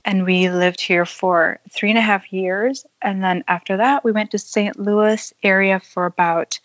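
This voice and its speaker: A woman who grew up in Thailand, telling her life story in an unhurried, thoughtful interview, with small laughs. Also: medium pitch, steady tone, no room sound, highs cut off. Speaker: podcast guest. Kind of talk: conversational interview.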